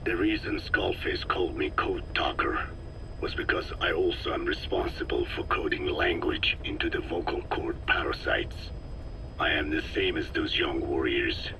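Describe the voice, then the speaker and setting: A man playing a very old, solemn Navajo scientist in a video game, speaking slowly and formally with a breathy, whispery voice full of regret. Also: rumbling low, background noise. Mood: mournful, grave. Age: older. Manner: slow, solemn, measured, formal. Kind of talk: dramatic game dialogue, reflective monologue.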